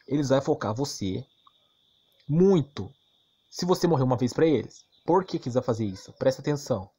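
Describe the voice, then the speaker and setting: A man's voice: medium pitch, lively intonation, brisk, warm tone, slightly rough. Brazilian man, emphatic gaming rant. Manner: emphatic, repetitive, asks rhetorical questions; slangy and crude. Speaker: gaming YouTuber. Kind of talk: casual ranting game commentary.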